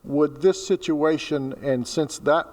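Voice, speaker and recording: A man's voice: a little high, steady tone, slow, darkish tone. A man on the zoning board, asking a roundabout question of the attorney. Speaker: board member. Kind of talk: questioning at a public meeting. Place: council meeting chamber. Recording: reverberant meeting hall.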